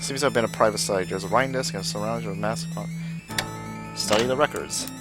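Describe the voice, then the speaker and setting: A man's voice: on the low side, a little fast. A man live streaming a game, reading its text aloud and commenting as he plays. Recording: music behind the voice. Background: game music.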